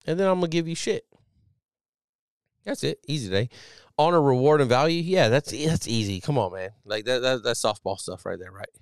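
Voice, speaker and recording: A man in his thirties hosting a podcast, talking casually as a Navy chief, loud and profane with a strong voice. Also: mid-range voice, moderate pace, clear, resonant tone, no echo, studio quality. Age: millennial, 30s. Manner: animated, profane, loud and emphatic. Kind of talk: casual solo podcast commentary.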